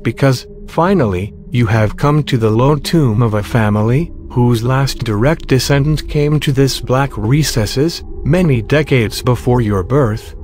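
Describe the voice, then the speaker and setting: A man's voice: mid-range voice, a little slow, few pauses, faint music behind, natural voice. A man playing an eerie, knowing voice from a tomb, speaking solemn, literary lines in a gothic horror audio drama. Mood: solemn, ominous. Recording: clearly noisy, ambient soundscape behind. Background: dark horror ambience.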